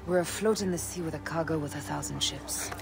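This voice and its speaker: An actress playing a stern, resolute young warrior in a video game, terse and serious. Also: female voice, deep voice, brisk, vocal fry. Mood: stern, alert. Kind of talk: video game cutscene acting.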